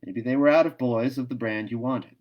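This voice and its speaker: Man voicing a brisk, offhand station master. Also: mid-range voice, steady tone, lo-fi sound, lightly acted. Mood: careless, wry. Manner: brisk, talkative, carelessly wry. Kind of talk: storybook read-aloud.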